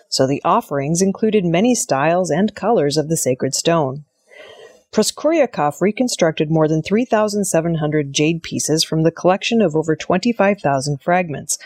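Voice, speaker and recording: Low-voiced woman reading scripted news. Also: darkish tone, slightly rough, no room sound. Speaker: news reader.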